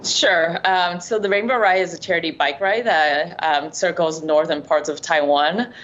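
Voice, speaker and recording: A woman's voice: lowish voice, steady tone, moderate pace, a bit bright. A woman explaining plainly as an interview guest, with a few fillers. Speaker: guest, bike ride co-founder. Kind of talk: remote interview. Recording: dull audio with clear background noise.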